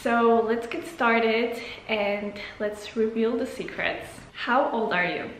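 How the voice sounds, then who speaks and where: A woman's voice: a little high, slow pace, echoey room, slight background noise, rough recording. A woman in her late twenties from Poland, chatting casually through a Q&A for her channel, with loose phrasing, fillers and restarts. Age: 20s. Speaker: vlogger. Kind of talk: casual Q&A to camera.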